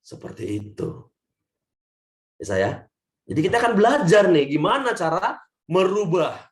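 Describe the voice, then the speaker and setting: A man from Indonesia, a leadership coach giving a webinar talk over a video call, swinging between loud and soft and often addressing the audience as friends. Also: big pitch swings, moderate pace. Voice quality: says his natural voice is thin and shrill.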